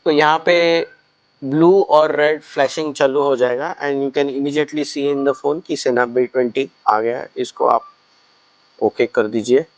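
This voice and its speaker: A man's voice: medium pitch, fairly even intonation, takes it slowly, no room sound, rough recording. A man gives a casual step-by-step product tutorial, explaining things and mixing in English phrases. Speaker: product reviewer and shop presenter.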